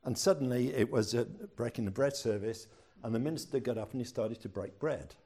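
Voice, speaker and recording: A man's voice: mid-range voice, brisk, mellow, slightly rough. An older man, a pastor preaching a sermon in a chatty storytelling way with self-deprecating humor.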